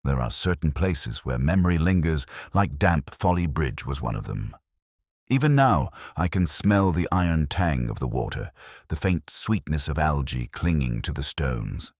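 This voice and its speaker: Very deep, brooding detective played by a man. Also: big pitch swings, dry recording, clean studio sound, exaggerated voice. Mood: brooding, reflective. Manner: measured, reflective, solemn. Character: brooding older male English detective inspector, melancholy and wry. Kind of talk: literary first-person scripted narration, audio drama.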